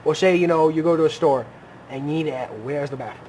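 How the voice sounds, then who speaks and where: A man's voice: a little high, fairly even intonation, fast talker, darkish tone, a little hiss or hum, low-quality recording. A man co-hosting a podcast, chatting casually about politics and often stopping to restart his sentences. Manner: rambling, many restarts and hedges. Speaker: podcast co-host.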